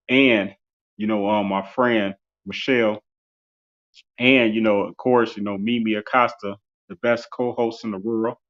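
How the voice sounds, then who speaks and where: A man's voice: on the low side, fairly bright tone, muffled recording. A man in his forties hosting an interview show, closing it out in a loose, rambling way full of "you know" and "um.". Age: 40s. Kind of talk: casual live-stream show closing.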